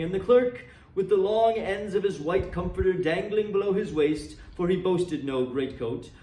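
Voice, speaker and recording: Male storybook reader, theatrical and animated, echoey room, mic at a distance. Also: a little high. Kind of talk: dramatic storybook narration. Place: indoor room, seated reading from a book. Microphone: far camera. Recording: roomy echo, distant microphone.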